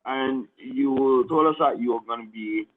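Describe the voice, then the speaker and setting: Husky male radio host on a tinny line. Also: medium pitch, a little slow. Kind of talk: radio interview.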